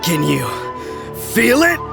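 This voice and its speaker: A woman playing a bombastic, possessed man in a video game, with a theatrical, halting delivery. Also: a little high, sing-song, gravelly, heavily acted. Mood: boastful. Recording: loud music behind the voice.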